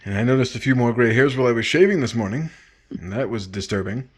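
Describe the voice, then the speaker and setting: A man's voice: medium pitch, quick pace, slightly rough, natural voice. A man playing the courteous star host of a 1950s radio drama series, presenting in a scripted style. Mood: courteous, composed.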